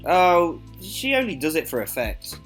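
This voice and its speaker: A woman playing a shy, polite, apologetic young man in an audio drama, quiet and hesitant. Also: on the low side, big pitch swings, a little slow, soft background music.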